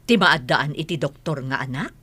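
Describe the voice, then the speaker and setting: A woman's voice: low voice, normal speed, pushed and bright, husky, heavily acted. A woman playing a doting, hopeful, fussing mother in a radio drama. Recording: music under the voice.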